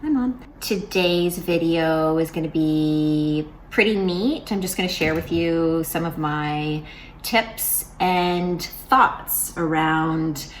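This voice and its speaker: A woman's voice: deep voice, slow pace, a little hiss or hum, rough recording. A woman in her forties talking casually to camera in a vlog, chatty and rambling, with lots of fillers and restarts. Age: 40s. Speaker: vlogger.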